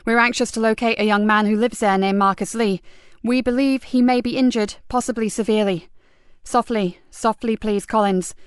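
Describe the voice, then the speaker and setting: A woman's voice: fairly high pitch, fairly even intonation, moderate pace, clear, resonant tone, no echo, studio quality, lightly acted. A woman voicing an urgent female police inspector who gives clipped, pressing orders. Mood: commanding.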